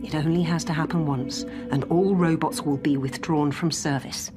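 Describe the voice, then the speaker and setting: A woman's voice: low voice, fairly even intonation, moderate pace, over music, lightly acted. A woman playing a shrewd, blunt lawyer and robot expert in a radio drama, probing with exacting authority. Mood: stern, insistent.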